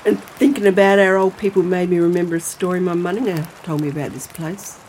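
Actress as low-voiced older Australian grandmother, crickets behind. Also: fairly even intonation, a little fast, a little mellow, slight background noise, close to an everyday voice, poor audio. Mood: wistful storytelling. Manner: homely, colloquial. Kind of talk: naturalistic audio drama dialogue and storytelling.

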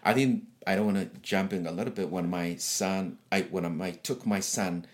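A very deep-voiced middle-aged man from Eritrea, Tigrinya his first language, speaks in a relaxed conversational interview. He tells his stories freely, restarting sentences and laughing as he goes. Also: moderate pace. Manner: chatty storyteller, frequent restarts and laughs.

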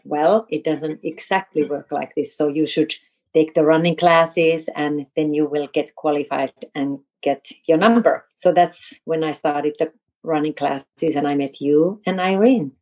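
A woman. Her voice sits a little low. She talks casually and conversationally as a guest on a podcast. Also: a little mellow. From Finland. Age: 50s.

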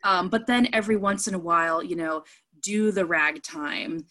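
A woman's voice: lowish voice, moderate pace, bright, forceful tone. Chatty enthusiastic woman interviewer. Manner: chatty and enthusiastic, trails off into lists. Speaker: interviewer, fellow actor. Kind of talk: casual conversational interview.

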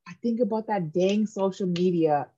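A woman's voice: medium pitch, moderate pace, warm tone, slightly rough, muffled recording. A woman in her thirties, a podcast guest talking candidly and joking about herself in casual chat. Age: 30s. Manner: candid, self-mocking, jokey.

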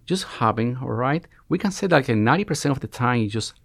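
A man in his forties with a Cuban Spanish accent, a resident doctor being interviewed on a podcast, who often pauses and restarts as he searches for words. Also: medium pitch, animated, creaky, dry recording, clean studio sound. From Cuba. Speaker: guest, family medicine resident.

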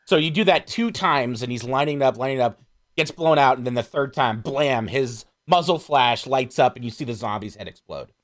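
A man chatting casually as a podcast panelist, critiquing films in an animated, sarcastic way. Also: mid-range voice, moderate pace. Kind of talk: casual film criticism chat.

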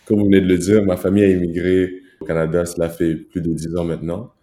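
A young man in his twenties, originally from Rwanda, speaks as an interview guest about his own experience. His voice is very low, dark and level, calm and earnest with small hesitations, and it rings out in an echoey room. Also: fast. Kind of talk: personal testimony in an interview.

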